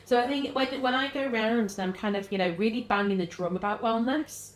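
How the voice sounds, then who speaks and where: A woman with a mild Liverpool-area English accent, a podcast guest chatting casually, acting out what other people say with lively pitch jumps and frequent laughs. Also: fairly quick. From Liverpool area, England. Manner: animated, acts out quoted voices, frequent laughs. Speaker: guest, wellness business founder.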